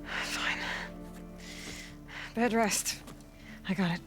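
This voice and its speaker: An actress playing a stubborn, self-reliant young huntress in a video game, guarded and weary, speaking in terse, understated phrases. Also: female voice, mid-range voice, drawn-out words, music in the background. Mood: weary, curt. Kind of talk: video game cutscene acting.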